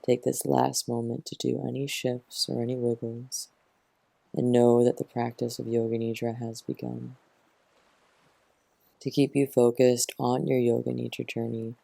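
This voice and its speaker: A man who teaches yoga nidra, gently guiding a relaxation meditation in a soothing, unhurried manner, close to the mic. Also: medium pitch, flat delivery, vocal fry, husky, no echo.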